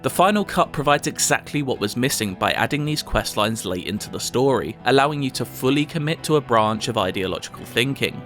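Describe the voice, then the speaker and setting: A man's voice: medium pitch, animated, a little fast, hardly any pauses. A man reading a scripted video game review as the reviewer. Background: soft music.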